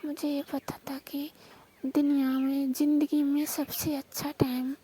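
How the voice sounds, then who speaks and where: High-voiced young woman, slow and soft. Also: level tone. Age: young adult. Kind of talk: intimate personal storytelling in conversation. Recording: faint background noise, amateur call-style recording.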